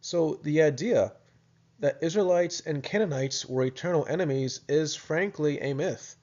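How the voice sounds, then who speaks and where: A man's voice: on the high side, moderate pace, darkish tone. American man explaining scripture, muffled sound. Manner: thoughtful, with frequent restarts and fillers. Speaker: video essay presenter. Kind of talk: casual explanatory lecture.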